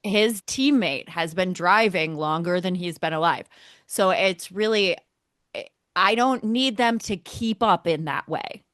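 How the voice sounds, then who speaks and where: A woman's voice: on the low side, unhurried, a bit bright, no echo, clean studio sound. A woman co-hosting a sports podcast, talking casually as a keen fan with emphatic repetition for effect. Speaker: motorsport podcast co-host.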